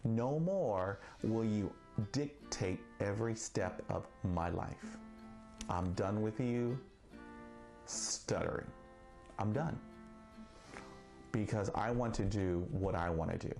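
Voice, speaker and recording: A man who stutters, speaking slowly and deliberately with long pauses in a personal monologue. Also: fairly low pitch, darkish tone. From Texas. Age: 40s. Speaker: vlogger. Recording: faint guitar music behind.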